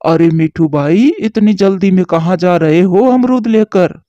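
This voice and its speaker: Determined cartoon pigeon, man voicing, high and slow, dry lo-fi audio. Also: dark tone, dry recording. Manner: earnest, determined. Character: brave, protective male pigeon.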